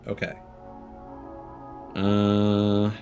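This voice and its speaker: A man's voice: lowish voice, monotone, very slow, mellow, lo-fi sound, faint music behind. A man doing casual live game commentary, rambling and thinking aloud as he plays. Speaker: game streamer.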